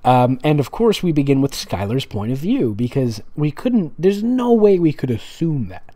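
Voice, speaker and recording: A man with a relaxed, mellow voice and very lively intonation hosts a comedic reading. He slips between reading aloud and dry, sarcastic commentary, casual and mocking throughout. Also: a little high, moderate pace. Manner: sarcastic, mocking, dramatic asides. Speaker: host reading and mocking fan fiction.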